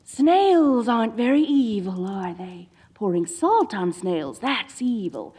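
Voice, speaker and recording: A woman's voice: medium pitch, very animated, slow, dark tone, slightly rough, exaggerated voice. A woman playing a dorky evil sorceress queen in a comedic audio roleplay, theatrical, gloating and petulant, talking straight to the listener. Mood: petulant scheming. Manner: theatrical, gloating, mock-menacing.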